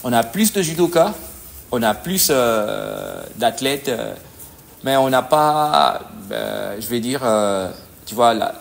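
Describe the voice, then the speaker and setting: Gravelly man, halting. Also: mid-range voice, animated. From DR Congo. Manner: halting, searching for words, frequent "tu vois". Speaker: judo coach. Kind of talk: conversational interview answers.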